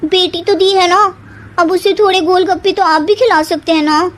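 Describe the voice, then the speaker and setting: A feisty young bride from an animated story, acted by a woman, with an extremely high voice. She is whiny and theatrical, always ready to argue. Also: normal speed, music in the background. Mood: indignant, stubborn. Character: feisty, dramatic young newlywed wife obsessed with snacks. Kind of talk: animated moral story acting.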